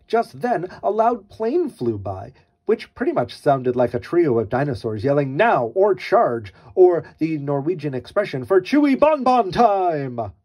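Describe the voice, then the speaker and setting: Animated man reading a children's story. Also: on the high side, unhurried. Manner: wry, theatrical mock innocence. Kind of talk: read-aloud children's storytelling.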